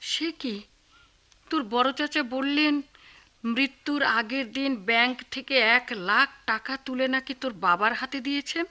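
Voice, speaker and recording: An actress playing a kind but outspoken middle-aged aunt and wife in a radio play, fussing and exclamatory. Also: female voice, sits high, a little slow, bright, forceful tone. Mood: surprised. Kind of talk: Bengali radio play acting.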